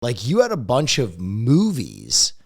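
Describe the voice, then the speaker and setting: A man's voice: medium pitch, wildly swooping pitch, slow, gravelly. A man co-hosting a casual podcast, chatting in a loose, playful way. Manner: laughs easily, loose and playful. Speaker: podcast co-host.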